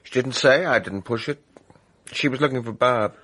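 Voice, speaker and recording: An actor playing a laconic, laid-back cameraman in a naturalistic radio drama, with a husky voice. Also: medium pitch, normal speed, fairly bright tone, natural voice. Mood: relaxed, guarded.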